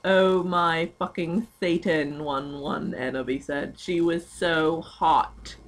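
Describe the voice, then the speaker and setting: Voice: mid-range voice, steady tone, slow. A young adult with a British accent giving an amateur mock-dramatic reading of fan fiction, giggly and often breaking off into asides. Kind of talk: mock-dramatic reading aloud mixed with casual chat.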